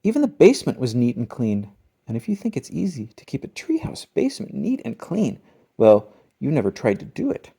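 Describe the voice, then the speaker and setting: Casting: picture-book narrator, adult, mid-range voice, expressive with wide swings in pitch and emphatic key words. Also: fairly quick, husky. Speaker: storybook reader. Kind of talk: scripted children's storybook narration.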